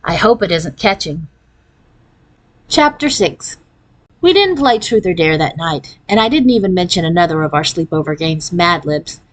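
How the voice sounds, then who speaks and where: A woman's voice: on the low side, animated, moderate pace, poor audio. A woman reading a children's story aloud as scripted narration, shifting into character voices for the dialogue. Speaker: audiobook-style reader.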